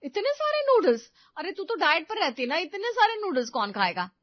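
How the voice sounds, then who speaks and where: A woman voicing a practical, talkative young-wife character in a household story, with an animated, protesting manner. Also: very high voice, a little fast, dry recording, lo-fi sound, voice pushed up. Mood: indignant. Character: practical, talkative elder daughter-in-law in a household.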